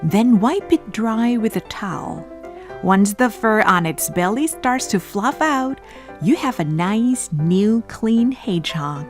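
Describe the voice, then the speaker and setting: A woman giving calm, instructive voice-over narration from a script. Soft music plays faintly underneath, and her pitch sits in a middle range. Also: takes it slowly.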